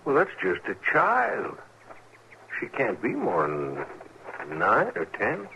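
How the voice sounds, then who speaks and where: A haunted rural American frontier fellow, mid-pitched but swinging animatedly up and down. He rambles haltingly and repeats himself in old radio drama style, on a muffled vintage recording. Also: huge pitch swings, sharp tone, slight background noise, exaggerated voice. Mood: surprised. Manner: halting, repetitive, folksy. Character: shaken, earnest frontier man, haunted and rambling.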